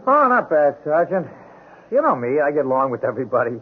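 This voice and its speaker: A man's voice: a little high, very animated, fairly quick. A man playing a hapless, trusting, simple-minded drunkard in a radio drama, folksy, hesitant and stammering. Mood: amiable, confused. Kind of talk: 1970s radio drama acting. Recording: tinny, noisy old radio recording.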